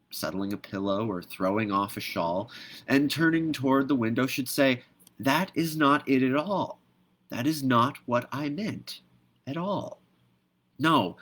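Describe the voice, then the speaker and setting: A man gives an expressive poetry reading at a slow, deliberate pace. His pitch sits in an ordinary male middle range, and he shapes the phrases theatrically, leaving dramatic pauses between them. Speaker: poetry reader.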